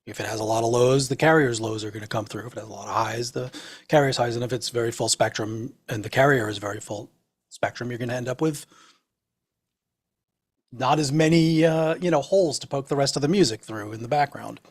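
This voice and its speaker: A man co-hosting a chat, giving a casual technical explanation in a halting, thoughtful way. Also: medium pitch, husky. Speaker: co-host.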